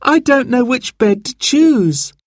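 A man voicing an excited, curious young child in a storybook, with an eager, wide-eyed manner. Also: very high voice, slow, dry recording, clean studio sound, pitched above the performer's own voice, full character voice. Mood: delighted.

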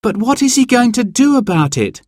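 A man's voice: extremely high pitch, very animated, moderate pace, a little mellow, no echo, clean studio sound, voice pushed up, heavily acted. A man voicing an anxious, guilt-stricken girl in a children's story, emotional and pleading.

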